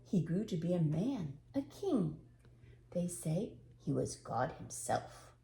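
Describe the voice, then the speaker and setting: Lady doing a sweet hopeful old man from a storybook, gentle n thoughtful, soft mellow tone, echoey room. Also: lowish voice, very animated, slow, creaky, a little hiss or hum, exaggerated voice. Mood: wondering, hopeful. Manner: gentle, thoughtful musing to himself. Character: kindly old Russian widower, cheerful and hopeful. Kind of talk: storybook character voice.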